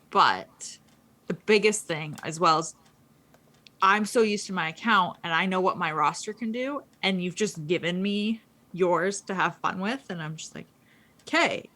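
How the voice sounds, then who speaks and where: A woman co-hosting a casual podcast, chatting quickly with lots of fillers and restarts. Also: fairly low pitch, pushed and bright. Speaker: co-host. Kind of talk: casual gaming podcast chat.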